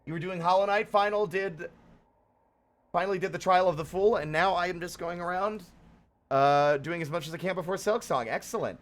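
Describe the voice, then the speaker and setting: A man's voice: high-pitched, brisk. A man chatting casually as he hosts a livestream, playful and theatrical, rambling between greetings and exclamations. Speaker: live streamer, host. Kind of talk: casual livestream chat with viewers.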